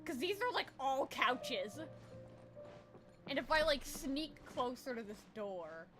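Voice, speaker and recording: Animated young woman streaming a game. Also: sits high, slow. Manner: slangy, sweary, amused. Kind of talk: casual let's play commentary. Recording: game music behind voice.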